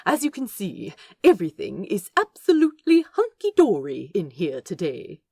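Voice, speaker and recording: A woman's voice: medium pitch, wildly swooping pitch, normal speed, a little mellow, slightly rough, dry recording, clean studio sound, full character voice. A woman voicing a male angel who keeps a bookshop, prim, fussily polite and indignant, in clipped, prissy phrases. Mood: tight politeness.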